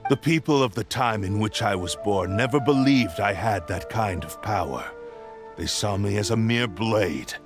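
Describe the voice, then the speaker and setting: An actor voicing an arrogant, ruthless sentient sword that possesses a man, speaking in a cold, proud and menacing way. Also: low voice. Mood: scornful. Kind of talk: video game voice acting. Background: game background music.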